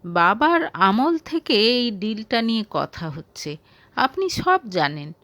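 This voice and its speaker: A woman's voice: on the high side, very animated, takes it slowly, fairly bright tone, no echo, exaggerated voice. A woman voicing a firm, businesslike male company boss in an audio story.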